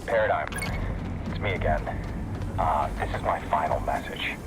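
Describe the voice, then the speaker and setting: An actor playing an earnest, grave but hopeful scientist who leads a resistance in a video game, speaking in a solemn, measured, heartfelt way. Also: male voice, very deep voice, noisy background. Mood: heartfelt, pleading. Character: earnest wartime scientist leader rallying allies, grave and hopeful. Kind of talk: scripted game story monologue.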